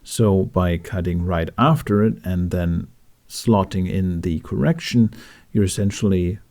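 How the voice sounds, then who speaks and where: Low-voiced man with gravelly fry, explaining. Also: unhurried, darkish tone. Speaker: tutorial host. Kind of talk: instructional narration for a how-to video.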